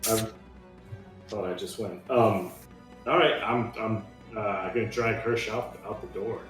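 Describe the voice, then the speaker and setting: A man's voice: on the low side, a little slow, fairly bright tone. A man bantering casually as a player in a tabletop game. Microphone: distant from the speaker. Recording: very echoey, distant mic, faint music.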